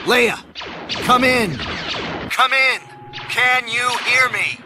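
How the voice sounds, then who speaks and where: An actor playing a brash space pilot under fire in an audio drama, urgent and commanding, with music behind him. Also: male voice, extremely high pitch, drawn-out words, noisy background, full character voice. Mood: desperate.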